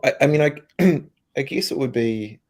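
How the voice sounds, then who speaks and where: A croaky-voiced man from New Zealand, a company co-founder, talking emphatically and casually with many restarts. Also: mid-range voice, lively intonation, moderate pace, a little mellow. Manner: emphatic, repeats words, restarts. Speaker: co-founder and co-CEO, guest. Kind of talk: casual interview answers.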